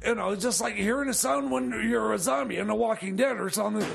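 A man acts out a scatterbrained tinkerer for radio comedy. His voice is very high and full of gravelly creak. He stammers, rambles and never quite finishes a thought, over a clearly noisy recording. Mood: rambling. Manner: stammering, halting, losing his thread. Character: rambling, scatterbrained male time-machine mechanic and home brewer. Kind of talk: improvised comedy radio theater.

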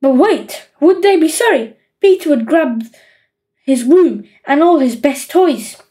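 Lady reading a kids book, high voice, super animated. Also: unhurried. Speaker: audiobook narrator. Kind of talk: expressive children's story reading.

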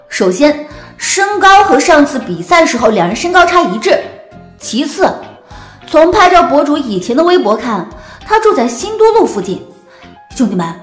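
An excitable young woman gossiping online, very high and swooping in pitch. She is emphatic and opinionated, in a roomy audio drama sound with music. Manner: emphatic, exclamatory.

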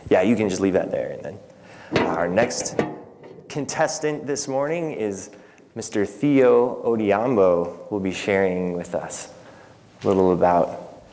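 A man's voice: low voice, expressive, measured pace, darkish tone, a little hiss or hum. A man in his thirties hosting a conversational interview as pastor in a reverberant church. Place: indoor church sanctuary. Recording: reverberant hall.

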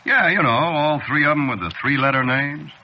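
An actor playing a chatty, folksy bartender who talks with loose colloquial grammar in a 1940s radio drama. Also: male voice, fairly high pitch, moderate pace, lightly acted. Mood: sly, chatty. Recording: lo-fi old radio broadcast, faint hiss.

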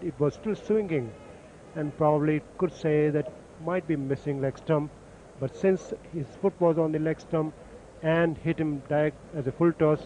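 A man calmly explaining each delivery as the expert summariser on a cricket broadcast. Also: a little high, muffled recording, slight background noise. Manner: calm, explains deliveries technically. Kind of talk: analytical commentary.

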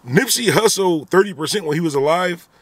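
A man's voice: high-pitched, expressive, brisk, dry recording. A man in his thirties talking animatedly into a phone camera inside a car, giving his opinions in a vlog with repeated rhetorical asides. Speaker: vlogger. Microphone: phone camera at arm's length.

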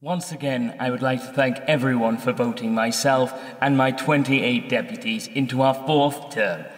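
An actor playing a self-assured senator making a formal, gracious political address in a radio drama. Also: male voice, mid-range voice, moderate pace, lightly acted. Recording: echoey hall with long reverb.

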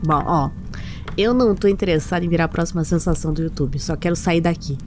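A woman's voice: lowish voice, quick pace, lightly acted. A woman playing a nervous, irritable young ghost hunter in an audio drama, with a Brazilian accent and sarcastic, sweary speech. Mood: anxious. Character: nervous, irritable young companion in a haunted house. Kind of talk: audio drama acting, colloquial dialogue. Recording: noisy ambience behind voice.

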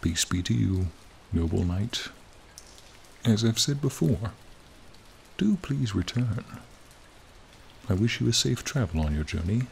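A man voicing a charming, friendly ancient dragon in a soothing, formal, gently teasing ASMR roleplay. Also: fairly low pitch, lively intonation, a little slow, gravelly, long pauses, a little hiss or hum. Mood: fond farewell. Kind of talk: ASMR roleplay, slow intimate monologue. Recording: rain and cave ambience behind.

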